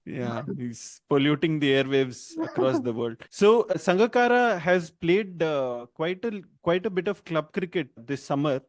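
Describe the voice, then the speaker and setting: A man's voice: fairly high pitch, expressive, a little slow, few pauses, dry recording. A man co-hosting a podcast in casual chat, talking loosely and laughing often between points. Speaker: podcast co-host.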